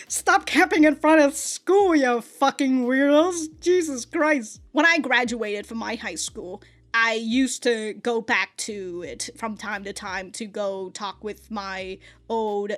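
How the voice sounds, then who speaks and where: Blunt, foul-mouthed woman, fairly high voice. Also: fairly bright tone. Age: 30s. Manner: blunt and profane, laughing often; halting, with many restarts and stammers. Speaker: anime reaction reviewer. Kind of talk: casual reaction commentary.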